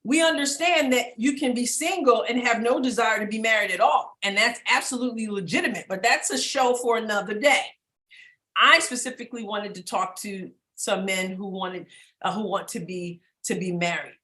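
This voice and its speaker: Bright-voiced woman hosting a talk show. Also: a little high, moderate pace, roomy sound. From New York. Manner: upbeat, direct, leads the conversation. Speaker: host.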